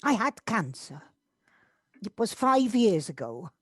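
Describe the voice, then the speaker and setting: A woman's voice: mid-range voice, very animated, takes it slowly, husky, dry recording, studio quality, heavily acted. An amateur actress playing a reserved, self-doubting older woman in an audio drama, kindly but wounded and hesitant. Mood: anguished. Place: recorded at home on a personal device.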